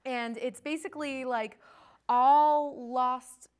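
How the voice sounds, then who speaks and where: A woman's voice: high voice, fairly even intonation, slow, smooth. A woman chatting informally and enthusiastically, like a fan, as a guest co-host. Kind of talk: casual chat.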